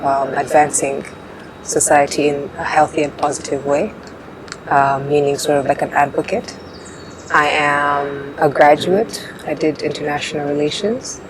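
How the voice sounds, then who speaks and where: A young woman in her twenties, born in Uganda, answering interview questions in a relaxed way with frequent fillers. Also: low-pitched. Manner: relaxed, laughs often, hesitant fillers. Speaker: pageant contestant guest. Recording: noisy background.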